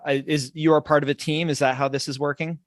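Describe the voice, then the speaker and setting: A man's voice: on the high side, flat delivery, fast talker, dry recording. A middle-aged American man hosting a podcast interview in a friendly, chatty way with many fillers. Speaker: podcast host.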